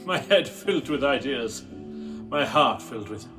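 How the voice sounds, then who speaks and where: A man's voice: mid-range voice, lively intonation, a little slow, music in the background, lightly acted. A man acting a haunted, formal scientist telling his tragic tale in a radio drama. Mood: fervent, reminiscing. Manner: formal, brooding, theatrical.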